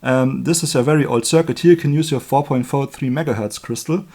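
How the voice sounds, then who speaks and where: A man with a German accent, a native German speaker, giving an informal hands-on electronics tutorial in a chatty, halting way, correcting himself as he works. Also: medium pitch, warm tone. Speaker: electronics hobbyist demonstrating a circuit build. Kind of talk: informal hands-on tutorial, explaining while working.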